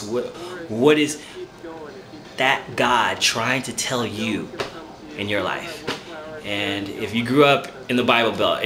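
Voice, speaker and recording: A man's voice: mid-range voice, reverberant. A man giving an earnest, encouraging talk to camera, repeating words as he thinks. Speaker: spiritual vlogger.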